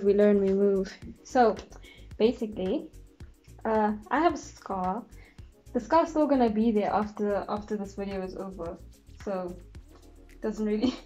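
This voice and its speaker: Young woman vlogger, stuffy nose, mellow voice, chatty storyteller, faint music under her. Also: medium pitch. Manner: chatty, giggly, acts out quotes. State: congested, losing her voice. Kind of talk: casual storytelling vlog. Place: bedroom, indoors.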